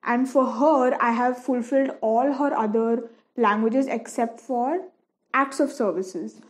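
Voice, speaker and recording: A young woman whose first language is Malayalam chats casually while painting, with a relaxed, talkative manner and frequent fillers. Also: on the high side, measured pace. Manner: chatty, many fillers and restarts. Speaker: painting vlogger. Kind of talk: casual chat over a painting session.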